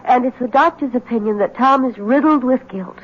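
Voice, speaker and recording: An actress playing a demure, proper and guarded wife in an old-time radio drama, speaking with genteel reserve. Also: female voice, high-pitched, brisk, natural voice. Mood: guarded, serious. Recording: dull, faintly noisy vintage radio recording.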